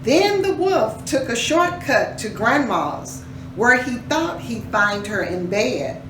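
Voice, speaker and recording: Slow, echoey woman reading a storybook aloud. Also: fairly high pitch. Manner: expressive, teacherly, pausing to ask questions. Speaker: storybook reader for a children's reading program. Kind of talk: dramatic read-aloud with questions to young listeners. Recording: roomy echo, distant mic, faint noise.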